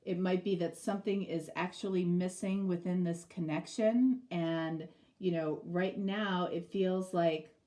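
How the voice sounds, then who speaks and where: A woman's voice: lowish voice, fairly even intonation, a little slow, few pauses, mellow. A middle-aged woman with a stuffy, nasal voice from a cold, giving a casual tarot reading and rambling through many fillers. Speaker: tarot reader.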